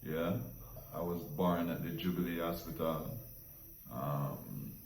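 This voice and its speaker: A Jamaican man hosting a chat speaks slowly and deliberately. His voice is low, and he sits away from the mic in a roomy space with a faint hiss underneath. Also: animated, creaky. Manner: brief murmured agreement, then slow recounting. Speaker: host. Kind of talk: casual storytelling chat. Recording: roomy echo from a distance.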